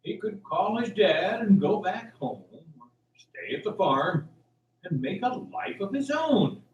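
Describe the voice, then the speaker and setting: Animated man narrating in a roomy space. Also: high voice, slow, lo-fi sound. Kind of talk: scripted radio drama narration. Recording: roomy, distant mic, faint music.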